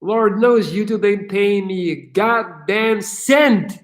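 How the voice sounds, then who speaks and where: A man hosting a casual livestream Q&A, answering viewer questions in an energetic, profane way with many fillers. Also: high voice, slow.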